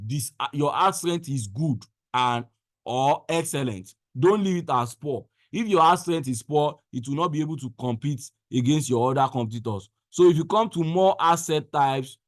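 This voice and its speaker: A man presenting a screen-share software tutorial in an instructional way, repeating phrases for emphasis. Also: a little high, no room sound, clean studio sound. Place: indoors, voice-over on a screen recording.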